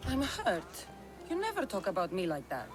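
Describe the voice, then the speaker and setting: An actress playing a blunt, protective mercenary heroine in a video game, direct and dry in manner. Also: female voice, medium pitch, huge pitch swings, moderate pace, over music. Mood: wry, friendly. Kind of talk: video game cutscene acting.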